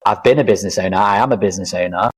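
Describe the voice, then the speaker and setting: Podcast guest, man in his thirties, low voice, earnest, reflective, rambling with fillers. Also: rapid-fire, husky. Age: 30s. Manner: reflective, earnest, lists experiences with many fillers. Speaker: podcast guest, life coach. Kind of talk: casual interview chat.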